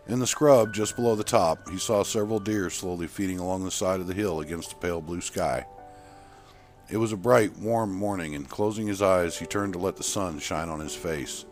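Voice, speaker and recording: A man's voice: low voice, a little mellow. A man narrating a scripted short story, with music playing behind him. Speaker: author and narrator.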